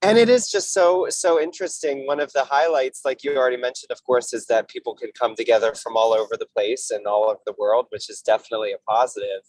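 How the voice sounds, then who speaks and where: A man's voice: mid-range voice, fairly even intonation, fast talker, few pauses, a little mellow, no room sound, poor audio. A man speaking casually as a theater director guest on a video call panel, chatty and loosely worded.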